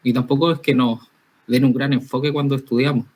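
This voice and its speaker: A man's voice: mid-range voice, fairly even intonation, brisk, a little mellow. A young man from Spain, a guest talking candidly and conversationally about his work as a safety professional. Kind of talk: casual interview talk.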